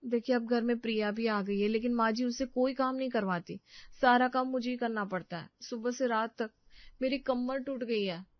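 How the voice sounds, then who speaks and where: Overworked young daughter-in-law performed by a woman, high and pleading, family melodrama, slightly dull audio. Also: normal speed, no room sound, close to an everyday voice. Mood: aggrieved. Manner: plaintive, protesting. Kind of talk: Hindi family drama storytelling.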